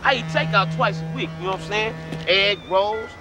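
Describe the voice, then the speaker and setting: Man as cocky rapper courier in an action flick, high-pitched, over loud film music. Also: moderate pace, lightly acted. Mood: swaggering. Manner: boastful, slangy, loose street talk. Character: brash man, a rapper turned drug courier, swaggering. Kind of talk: action movie dialogue. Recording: film soundtrack with music underneath.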